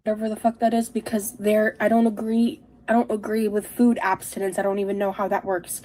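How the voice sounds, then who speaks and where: A woman vlogger talking confessionally about herself in a halting, introspective way. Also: mid-range voice, little pitch movement, mellow, rough recording. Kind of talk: confessional personal talk.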